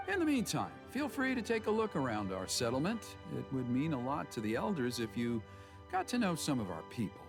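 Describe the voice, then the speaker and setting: An actor playing an ingratiating, smooth-talking village elder in a fantasy video game, flattering and theatrical with drawn-out emphasis. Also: male voice, medium pitch, music in the background.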